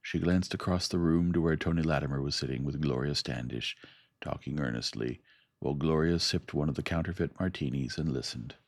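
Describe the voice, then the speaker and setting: A man reading a science fiction story aloud in a soft, calm and unhurried ASMR manner. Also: very deep voice, no echo, clean studio sound. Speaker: audiobook reader.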